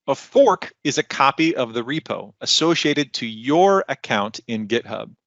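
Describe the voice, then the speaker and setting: Gravelly man giving a tech presentation. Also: medium pitch, fairly bright tone, no room sound, lo-fi sound. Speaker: technical content developer presenting. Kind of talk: online conference presentation, explanatory.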